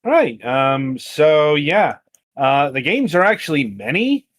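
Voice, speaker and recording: A man hosting a live-streamed interview, asking questions in a measured, polite way. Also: a little high, very animated, fairly bright tone. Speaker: podcast host, interviewer.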